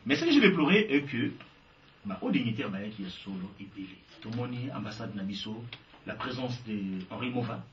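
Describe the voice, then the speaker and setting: Congolese guy talking emphatic, pitch jumps around a lot, far off mic in an echoey room. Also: sing-song, fairly quick, slight background noise. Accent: Congolese French. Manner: emphatic, switching between French and Lingala. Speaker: guest. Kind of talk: interview answers. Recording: echoey, distant, dull sound.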